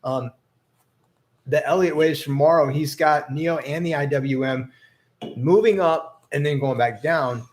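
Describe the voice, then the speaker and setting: A man's voice: a little high, measured pace. A man casually hosting a live investing chat, rambling and hesitant, with an upbeat tone. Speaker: stock investing livestream host.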